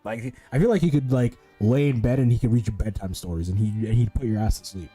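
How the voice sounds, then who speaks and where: Mellow man doing game commentary. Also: medium pitch, quick pace, soft background music. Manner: sweary, slangy. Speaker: gaming streamer. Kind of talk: casual playthrough commentary.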